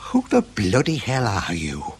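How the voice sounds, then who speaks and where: Blunt, animated Lancashire bloke. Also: male voice, lowish voice, a little slow, slight background noise, heavily acted. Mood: defiant. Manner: blunt, defensive, stroppy. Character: blunt, indignant, suspicious Lancashire man under interrogation. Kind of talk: radio drama acting.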